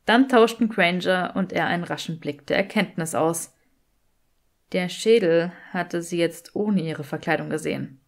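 A woman's voice: on the low side, unhurried, creaky. Woman narrating an audiobook. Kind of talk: scripted fan fiction narration.

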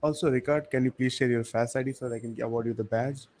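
A man hosting an online community session, talking briskly and keeping things moving. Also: medium pitch, fairly even intonation, a little mellow. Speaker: session host. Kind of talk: casual video call hosting.

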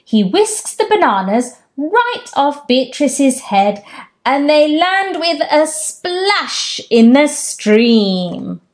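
A woman in her thirties reads a children's storybook aloud in a room at home. Her voice sits a little high and swoops widely as she tells the story gently, taking her time over every line. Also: drawn-out words. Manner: gentle, expressive storytelling with raised-pitch character quotes. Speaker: storytime host. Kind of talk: children's picture book read-aloud. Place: home room with bookshelves, indoors.

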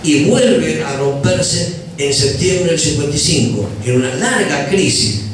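A man's voice: fairly high pitch, animated, measured pace, distant mic. A man lecturing and explaining history at a panel in a university hall. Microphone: handheld mic, far camera. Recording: roomy hall from afar, background noise.

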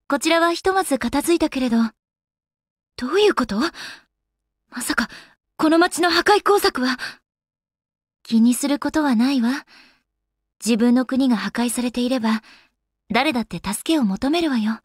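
A woman's voice: high-pitched, dry recording, studio quality. A woman voicing an elegant young goddess in a video game, with polite, ladylike, composed speech. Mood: composed, serious. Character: elegant young goddess, refined lady and avid gamer. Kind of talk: Japanese video game character voice acting.

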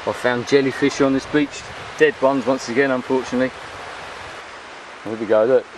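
A man talks in a chatty, rambling way at an ordinary pitch. He is giving an off-the-cuff walking commentary into a handheld camera's microphone. Clear outdoor noise of an open beach runs behind the voice. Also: fairly even intonation, normal speed. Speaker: vlogger filming a beach walk. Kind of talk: casual, unscripted walking commentary. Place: open beach at low tide. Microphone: handheld camera's built-in microphone.

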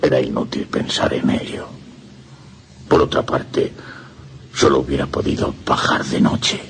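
A man's voice: a bass voice, flat delivery, a little slow, creaky, slight background noise, exaggerated voice. A man with a Castilian Spanish accent playing a lovesick, tormented young priest, confessing in an anguished radio drama monologue. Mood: anguished, resigned.

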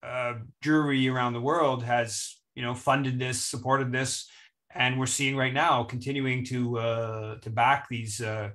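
Bright-voiced man on a video call. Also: medium pitch, little pitch movement, unhurried. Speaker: speaker. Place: home room, indoors. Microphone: webcam video call.

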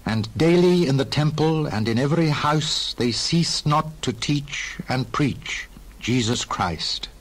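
A man narrating dramatized scripture in a formal, expressive storytelling manner. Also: on the high side, slow.

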